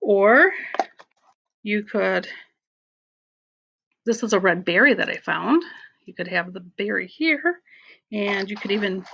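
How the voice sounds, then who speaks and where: A woman schoolteacher talking casually and instructively to children, expressive and encouraging them to use their imagination. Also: mid-range voice, creaky, husky, lo-fi sound. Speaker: elementary school teacher.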